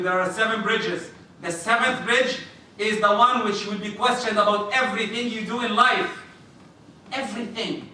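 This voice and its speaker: Loud, bright-voiced imam in an echoey hall. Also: male voice, high-pitched. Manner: loud, animated, jokes with the congregation. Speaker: imam giving a sermon. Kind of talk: Friday sermon to a congregation. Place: mosque prayer hall. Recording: echoey hall, mic far off, faint noise.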